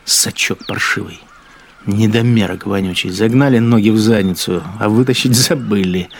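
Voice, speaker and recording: Male actor doing a nasty bitter soldier grumbling in a radio play, deepish voice, scornful, some noise behind. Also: normal speed, close to an everyday voice. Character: bitter, spiteful wartime collaborator machine-gunner, a grumbling brute. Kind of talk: radio drama interior monologue.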